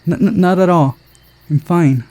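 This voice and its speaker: A man's voice: high voice, unhurried, mellow, no room sound, voice pushed up. A man voicing a grumbling young woman on a film crew in a visual novel, sulky and complaining.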